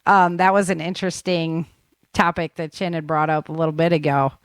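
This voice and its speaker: A woman with a fairly low voice hosts a casual interview podcast. She talks in a rambling, conversational way, and the sound is dry, with very little room in it. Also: little pitch movement, brisk, clean tone, no echo. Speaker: podcast host.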